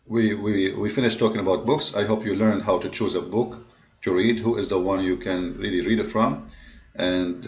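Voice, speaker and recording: A man's voice: lowish voice, muffled recording. A man whose first language is Arabic, speaking English with an Arabic accent. He gives an emphatic lecture to viewers, counting off his points and restarting often with "uh". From Middle East. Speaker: online commentator on religion. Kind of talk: lecture-style talk to viewers.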